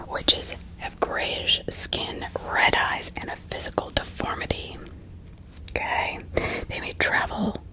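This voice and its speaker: A woman narrating true crime as an ASMR host in a breathy whisper, pausing often mid-sentence and adding small asides to the listener. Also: low-quality recording.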